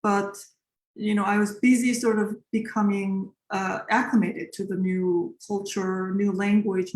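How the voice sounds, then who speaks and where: Korean-born woman composer in her forties, reflective interview answers, roomy echo. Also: medium pitch. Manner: reflective, with many fillers and restarts.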